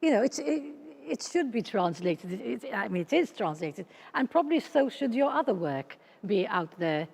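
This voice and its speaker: A woman asks questions in a hesitant, searching way, filling her pauses with "um". Her voice rings out with a long reverb, as if she is in a large hall. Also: mid-range voice, very animated, vocal fry, a little mellow, slightly rough. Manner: hesitant, many "um"s. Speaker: interviewer. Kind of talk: panel interview.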